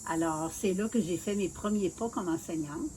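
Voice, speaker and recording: A woman in her sixties from Quebec City with a Quebec French accent, calmly telling her career story in an interview, with many filler pauses. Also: lowish voice, a little hiss or hum, low-quality recording. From Quebec City, Canada. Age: 60s. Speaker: mayoral candidate being interviewed.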